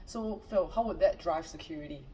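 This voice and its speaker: A woman's voice: low voice, brisk, warm tone, far from the mic, a little hiss or hum, poor audio. A woman from Singapore as a guest in a casual interview chat, upbeat and friendly with frequent fillers.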